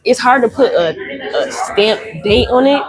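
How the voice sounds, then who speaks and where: A woman's voice: fairly high pitch, normal speed, music in the background. Laid-back young woman, slangy. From Buffalo, New York, East Side. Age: young adult. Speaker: guest artist and performer. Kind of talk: casual interview chat.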